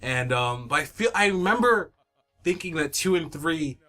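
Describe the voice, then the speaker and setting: A man's voice: a little high, animated, moderate pace, sharp tone. A man reminiscing casually with friends as a voice actor on a commentary track. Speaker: voice actor from the series.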